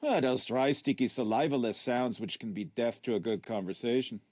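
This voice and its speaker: A man voicing an oddly formal, insistent male interviewer in an audiobook, probing, unctuous and deliberate. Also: medium pitch, dry recording, clean studio sound, lightly acted.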